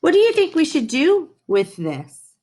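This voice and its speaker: A woman's voice: sits high, wildly swooping pitch, measured pace, a little mellow, a little hiss or hum, pitched above the performer's own voice, heavily acted. A woman voicing a kindly, enthusiastic male schoolteacher in a storybook, upbeat and encouraging. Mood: approving.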